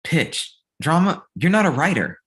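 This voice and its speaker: A woman's voice: deep voice, sing-song, unhurried. A woman playing an exasperated, blunt young male manager, the sensible one of his group, in a comedy table read. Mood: skeptical.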